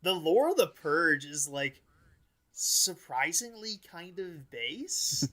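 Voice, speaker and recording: A man's voice: on the high side, big pitch swings, slow, pushed and bright. A man chatting casually as a podcast co-host, rambling in stops and starts with plenty of filler words. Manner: rambling, filler-heavy, stop-and-start delivery.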